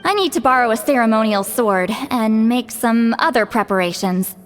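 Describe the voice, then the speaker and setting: An actress playing a wise, wry elderly woman who guides spirits, speaking in an unhurried storytelling way for a video game. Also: fairly high pitch, soft background music, natural voice. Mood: calm, assured.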